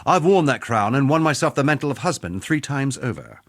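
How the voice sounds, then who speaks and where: A man voicing a proud, battle-scarred duelist in a video game, telling things in an earthy, wry, measured way. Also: mid-range voice, big pitch swings. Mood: proud, reflective. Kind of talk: dramatic video game character monologue.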